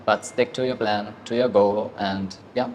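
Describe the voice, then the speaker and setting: A man's voice: lowish voice, steady tone, a little slow, lo-fi sound, slight background noise. A business owner, a man, giving confident advice and checking in with "yeah?" after his points. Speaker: sausage shop owner. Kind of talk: casual interview answers.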